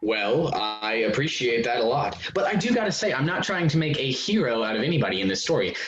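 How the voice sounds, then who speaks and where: A man's voice: medium pitch, very animated, brisk, music in the background, lightly acted. A man playing a smug, defensive amateur novelist in a staged play reading. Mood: defensive, earnest. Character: smug, self-justifying male amateur novelist, defensive about his sleazy book. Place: remote virtual staged reading. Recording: dull, noisy online call audio.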